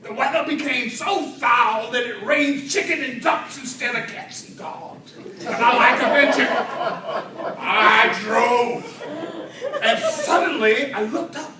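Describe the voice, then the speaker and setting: A man delivering a humorous tall-tale speech to an audience in a theatrical way, with dramatic pauses and swells. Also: very high-pitched, a crowd in the background. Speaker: speech contest storyteller at a Toastmasters club. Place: indoor room with an audience. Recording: roomy, distant mic, faint noise.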